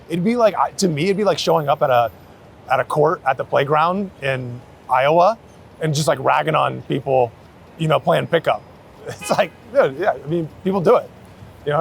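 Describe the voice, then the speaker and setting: A man's voice: a little high, vocal fry. A man talking casually in slangy, anecdotal style, as a street photographer being interviewed outdoors in the city. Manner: slangy, anecdotal, hedging with "like" and "you know". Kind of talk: casual chat. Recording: city street noise, traffic around.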